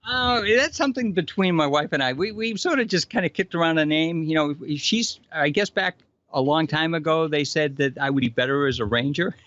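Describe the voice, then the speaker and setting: A man's voice: on the high side, a little fast, few pauses, fairly bright tone, no echo, lo-fi sound. A man with a Boston accent, a guest answering questions in an easygoing, slightly hesitant way. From Boston area. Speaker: guest, shoe store employee. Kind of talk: casual interview answers.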